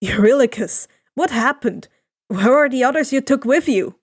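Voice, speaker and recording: A woman voicing a decisive, tactful male sea captain with a firm, measured manner in a fan fiction reading. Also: high-pitched, animated, no room sound, studio quality. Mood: concerned.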